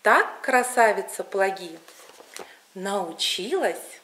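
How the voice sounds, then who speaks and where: A woman storyteller reading a scripted folk tale in an expressive, deliberate way. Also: mid-range voice, a little hiss or hum.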